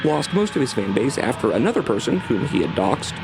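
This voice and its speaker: A man's voice: mid-range voice, very animated, a little fast, noisy background. A man narrating his own scripted video, heard as playback. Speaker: video creator. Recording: played-back video with music underneath.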